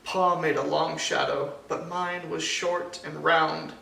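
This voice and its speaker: High-voiced man slowly reading a storybook, echoey room. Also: level tone, gravelly, bright, forceful tone. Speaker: storybook reader. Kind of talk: scripted children's picture-book narration read aloud. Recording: echoey room, distant mic, faint noise.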